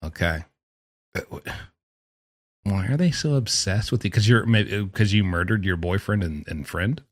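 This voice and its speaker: A man's voice: low-pitched, normal speed, no echo, studio quality. A man giving casual, sarcastic reaction commentary as a host, mocking and theatrical in his emphasis. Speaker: host commenting on prison phone calls.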